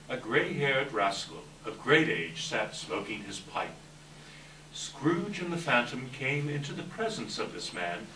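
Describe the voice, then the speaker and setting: Animated male story narrator, echoey room. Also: mid-range voice. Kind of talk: scripted story narration at readers theater. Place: indoor live staged reading. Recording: echoey room, distant mic, faint noise.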